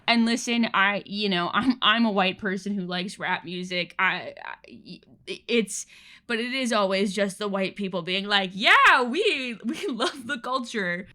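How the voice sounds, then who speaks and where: A woman co-hosts a podcast in loose, casual conversation. Her voice is bright and forward. She talks in animated bursts, full of fillers and restarts. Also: medium pitch, a little slow. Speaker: podcast co-host.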